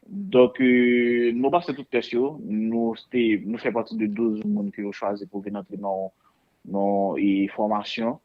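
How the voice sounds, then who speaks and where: A man with a Haitian accent speaking conversationally as an interview guest, telling stories in an animated, repetitive way. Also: medium pitch, slow, dull audio. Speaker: interview guest, air traffic controller.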